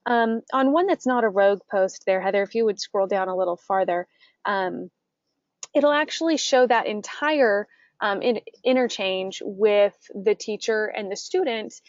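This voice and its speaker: A woman's voice: medium pitch, moderate pace, no room sound. American woman hosting, in dry, slightly dull audio. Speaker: webinar host. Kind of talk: interview and guided software demonstration.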